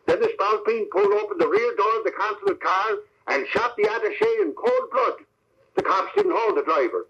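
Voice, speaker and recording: An actor playing a brash, folksy Irish newspaper reporter with slangy, emphatic delivery in an old radio drama. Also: male voice, sits high, sing-song, normal speed, a bit bright. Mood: excited, emphatic. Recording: muffled, scratchy old radio recording.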